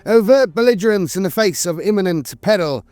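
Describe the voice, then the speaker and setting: Animated man narrating, dry close sound. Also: high voice, fairly quick, no room sound, faint music behind. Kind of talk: scripted lore narration.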